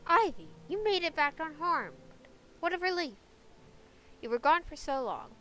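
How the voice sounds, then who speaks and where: A woman's voice: high voice, big pitch swings, normal speed, bright, forceful tone, heavily acted. A woman voicing an anxious, dramatic castle dweller in a fantasy game, speaking in an emphatic, fretful way. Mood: relieved.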